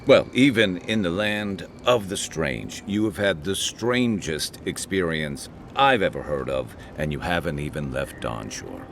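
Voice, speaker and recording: A man playing a gruff, wry and loyal travelling companion in a fantasy video game, talking in a conversational, dryly humorous way. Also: deep voice, lively intonation, a little slow, few pauses, background noise, heavily acted. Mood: curious, probing.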